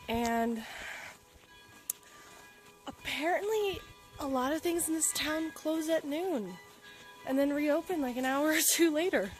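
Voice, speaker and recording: Young woman from Alaska, casual travel vlogger, slightly high voice, earnest, laughs a lot, breathy asides. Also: expressive, measured pace, pauses often, soft background music, rough recording. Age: young adult. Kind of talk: casual vlog talk to camera. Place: outdoors in a mountain town.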